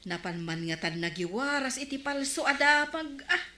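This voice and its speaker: High, bright disapproving village woman. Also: lively intonation, normal speed, echoey room. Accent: Filipino, Ilocano. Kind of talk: comedy radio drama acting.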